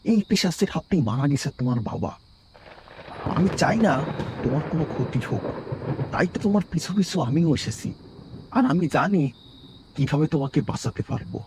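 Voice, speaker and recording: A man playing a protective, concerned village uncle in an animated horror story, earnest in his manner. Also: on the high side, normal speed, long pauses, noisy background. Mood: grave concern.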